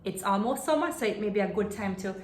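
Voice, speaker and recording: A woman reading a children's book aloud in an expressive way, stopping to put questions to young listeners. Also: mid-range voice, fast. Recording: roomy, echoey home-style video recording.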